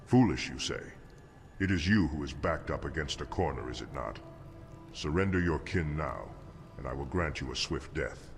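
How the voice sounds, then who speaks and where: An arrogant demon warlord from a fantasy game, menacing and extremely low. He commands and taunts in an imperious, theatrical way over prominent music. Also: animated, normal speed, vocal fry, full character voice. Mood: mocking.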